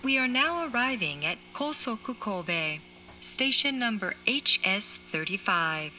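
A woman reading scripted train announcements in a formal, measured manner, as heard inside a carriage. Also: fairly high pitch, sing-song, sharp tone. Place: train carriage speaker, train ambience behind. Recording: faint train noise, recorded inside carriage.

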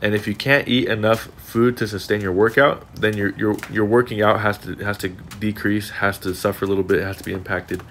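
A young man with a slightly low voice talks through a casual vlog voice-over. He sounds congested and sniffly. His delivery is earnest and reflective, and it wanders from thought to thought. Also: steady tone, fairly quick, hardly any pauses, a bit bright, a little hiss or hum. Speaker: fitness vlogger.

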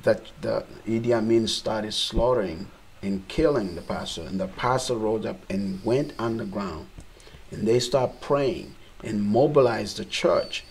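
A man's voice: on the low side, measured pace, creaky, a little mellow, a little hiss or hum, rough recording. A middle-aged man from Uganda, a missionary guest on a discussion panel, speaking earnestly and growing emphatic. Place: small indoor studio set.

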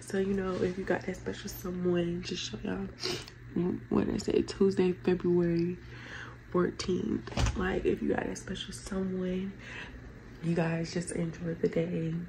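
Girl w a stuffy nose, low gravelly voice, rambling gwrm chat at home, lil bit of background hiss. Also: little pitch movement, measured pace. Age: young adult. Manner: chatty, rambling, addresses viewers as y'all. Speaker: vlogger. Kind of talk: casual get-ready-with-me chat. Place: bedroom at home, indoors.

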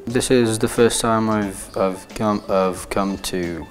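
A man's voice: on the low side, steady tone, takes it slowly, faint music behind. A young man from Egypt, a student speaking hesitantly with many restarts in a testimonial interview on a lapel mic. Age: young adult. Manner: hesitant, repeats words.

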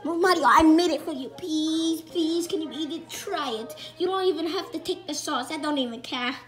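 A woman's voice: high voice, normal speed, faint music behind, lightly acted. A woman voicing a goofy, eager male plush toy who rambles cheerfully while cooking in a home kitchen, on a handheld phone. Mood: cheerful rambling.

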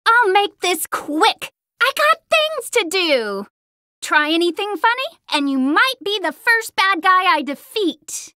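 Cocky little anime fighter girl, super high bright voice, sassy game battle lines. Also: sing-song, slow, no echo, studio quality, heavily acted. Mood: cocky, playful. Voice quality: girlish, youthful. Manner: sassy, exclamatory, brash. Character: cocky, spirited young girl martial-arts fighter.

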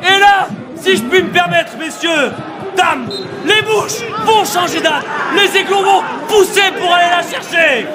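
A man giving excited live football commentary from a stadium booth into a headset, shouting and building suspense. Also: extremely high pitch, a little slow, heavy background noise. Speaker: match commentator. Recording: loud crowd and music behind.

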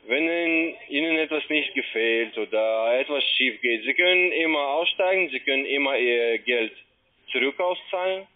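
A man speaking non-native German as a call centre agent, making a halting, repetitive sales pitch over the telephone. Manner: halting, repeats himself. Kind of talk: phone sales talk. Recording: muffled narrowband phone line.

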